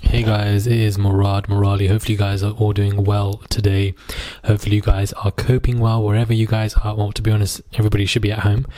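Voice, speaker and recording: A man's voice: on the low side, flat delivery, fast talker, mellow. A man hosting a podcast, talking in an informal, emphatic and conversational way about social issues. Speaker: podcast host.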